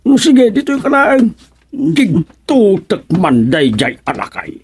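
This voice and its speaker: A man plays a fussy older villager from a radio comedy, exclaiming with an Ilocano accent in a high voice. He talks slowly and keeps throwing in little cries and pleas. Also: heavily acted. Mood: fussing. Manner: exclamatory, pleading, animated. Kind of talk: radio drama acting.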